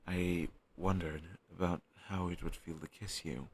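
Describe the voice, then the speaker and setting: A young man playing a shy, lovestruck best friend in an intimate romantic audio roleplay, speaking in a halting, tender and teasing way. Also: sits low, steady tone, a little hiss or hum. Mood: tender, nervous.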